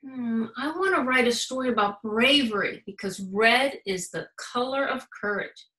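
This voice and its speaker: A woman's voice: a little high, normal speed, bright, forceful tone. A woman voicing an eager, brave young pencil schoolgirl in a storybook, musing earnestly. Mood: earnest, wondering. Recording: roomy, echoing sound.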